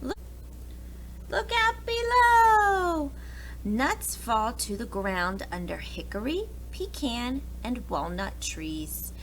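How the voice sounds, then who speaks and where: A woman's voice: a little high, very animated, slow, low-quality recording. An American woman, a preschool teacher, reads a picture book aloud expressively, addressing young children with questions and asides. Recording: clear background noise.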